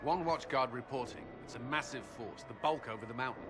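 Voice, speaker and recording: An actor playing a resolute military commander in a video game, urgent and forceful, with clipped, commanding speech. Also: male voice, mid-range voice, brisk, over music, lightly acted. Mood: tense, reporting. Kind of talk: dramatic video game acting.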